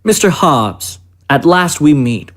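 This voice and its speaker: A man playing a polite, secretive presidential chief of staff in an audio drama, with formal, measured speech. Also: fairly high pitch, big pitch swings, a bit bright, exaggerated voice. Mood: courteous, guarded.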